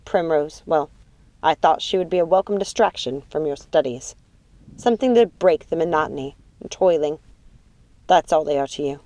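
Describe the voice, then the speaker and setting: A woman's voice: low voice, moderate pace, dark tone, lightly acted. Woman acting a cruel, imperious witch mother. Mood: cold, possessive. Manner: scornful, commanding, theatrical delivery. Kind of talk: audiobook character acting.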